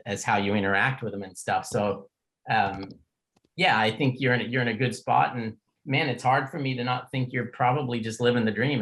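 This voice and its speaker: A man's voice: lowish voice, a bit bright, low-quality recording. A middle-aged man hosting a casual conversational interview in an informal, chatty, plain-spoken way. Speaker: podcast host.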